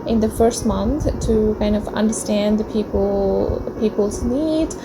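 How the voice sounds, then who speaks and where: A woman's voice: medium pitch, a little slow. Young female vlogger, non-native English, easygoing and chatty with fillers, noisy home recording. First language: not English. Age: young adult. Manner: chatty, many ums and uhs. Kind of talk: casual personal storytelling to camera. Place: small indoor room.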